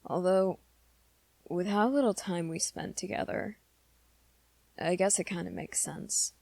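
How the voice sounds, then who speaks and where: A woman's voice: fairly low pitch, fairly even intonation, gravelly, a little mellow. A woman voicing an awkward, earnest teenage werewolf boy in an intimate, hesitant ASMR roleplay. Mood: gentle, reassuring. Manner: hesitant, halting, rambling confession to listener.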